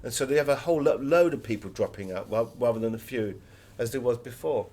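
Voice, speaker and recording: A man's voice: medium pitch, fast talker, warm tone. A man giving conversational interview answers as an author, full of anecdotes.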